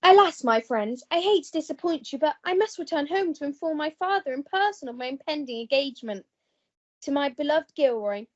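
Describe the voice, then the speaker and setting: A woman's voice: high-pitched, expressive, a little fast. A woman playing a self-centred, melodramatic young upper-class English heiress in a comic radio play. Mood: mock sorrowful. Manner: posh, melodramatic. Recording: dull lo-fi recording.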